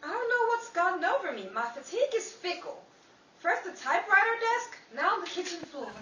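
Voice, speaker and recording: A dazed, earnest young-sounding writer full of period slang in a 1930s radio comedy, acted by a woman. The voice is extremely high and animated, echoing in a noisy room. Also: brisk. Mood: tired, puzzled. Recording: noisy, echoey live hall, far mic.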